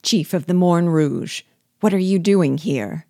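A woman's voice: fairly low pitch, unhurried, warm tone, dry recording, studio quality. A woman voicing a vengeful dwarf sorcerer in an audiobook, commanding and passionate, with a raised voice. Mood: indignant. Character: vengeful dwarf sorcerer, furious man hurling curses. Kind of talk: dramatic audiobook character reading.

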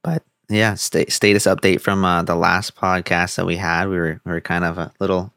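Deep-voiced laid-back young man host. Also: flat delivery, normal speed, fairly bright tone, no room sound, clean studio sound. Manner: laid-back, stumbling restarts. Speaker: podcast host. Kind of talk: casual chat. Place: home, on a video call.

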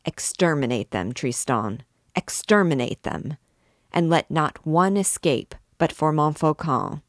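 A woman voicing a fierce, cunning old king who gives commanding orders in a low voice. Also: expressive, measured pace, no room sound, studio quality. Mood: cold wrath. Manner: commanding, rising to shouted orders.

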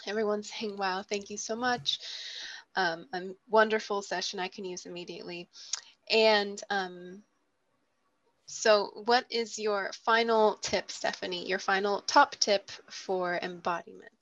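A woman's voice: medium pitch, fairly even intonation, a little slow, a bit bright. Woman, session moderator, friendly, announcing and thanking, lo-fi video call sound. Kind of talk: hosting and wrapping up an online conference session.

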